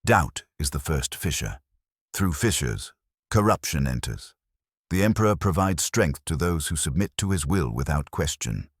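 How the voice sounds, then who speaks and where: A man's voice: very deep voice, lively intonation, normal speed, slightly rough, no room sound, clean studio sound, heavily acted. A man voicing a doctrinaire female religious instructor in a science fiction story, formal, solemn and preaching. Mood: stern, preaching.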